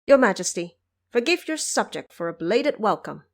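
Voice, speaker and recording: A woman's voice: mid-range voice, big pitch swings, normal speed. Audio drama role: male ghost judge, humble, formal, deferential court official, played by a woman. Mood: humble, apologetic.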